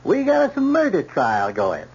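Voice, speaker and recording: An actor playing a chatty, opinionated small-town hotel clerk with a raspy drawl, in radio drama style. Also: male voice, high-pitched, wildly swooping pitch, moderate pace, darkish tone, dull audio, exaggerated voice. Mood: folksy, chatty. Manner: folksy, drawling dialect.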